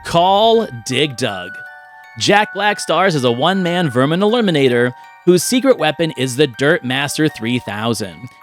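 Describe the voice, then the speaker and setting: A man playing a dramatic, salesman-like movie trailer announcer reading a scripted pitch. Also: a bit bright. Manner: theatrical, salesman-like.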